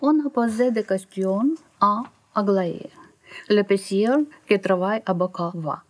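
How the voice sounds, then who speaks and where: A woman's voice: mid-range voice, measured pace, no echo. A woman from Ukraine, a native Ukrainian speaker, reading a short reportage and answering questions in careful, hesitant learner's French.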